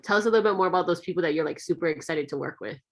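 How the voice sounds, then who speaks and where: A woman hosting an interview, chatty and encouraging, talking in long, quick runs. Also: lowish voice. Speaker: interview host. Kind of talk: casual interview conversation.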